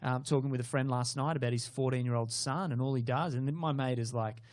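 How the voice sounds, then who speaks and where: A man's voice: medium pitch, steady tone, fast talker, no room sound, clean studio sound. Earnest young man hosting. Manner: earnest, speaks at length on his views. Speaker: host. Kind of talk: casual interview conversation.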